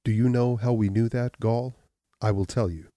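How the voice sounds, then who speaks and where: A man voicing a stern, implacable accuser who speaks in a deep, level, measured way. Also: no room sound, clean studio sound, close to an everyday voice. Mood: accusing. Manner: calm, measured, unyielding. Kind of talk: audiobook reading.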